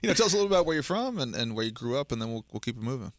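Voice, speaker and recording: A man in his thirties co-hosts a radio talk show from a studio, casual and quick to joke. His pitch moves a lot as he talks. The sound is dry and close. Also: no room sound. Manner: animated, joking, laughs often. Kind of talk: casual chat.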